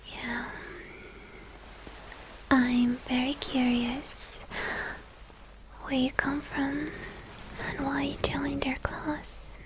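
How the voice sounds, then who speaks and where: A woman voicing a shy, awkward young vampire student in a whispered ASMR role play, halting and confiding. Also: sits high, background noise. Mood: curious, confiding.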